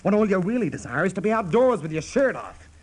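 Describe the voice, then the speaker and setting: A restless young American man in a radio drama. His voice sits on the high side and ranges widely, the voice of an unsettled brother longing for open country. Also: big pitch swings, quick pace, husky, exaggerated voice. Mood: frustrated, searching. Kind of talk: radio play acting.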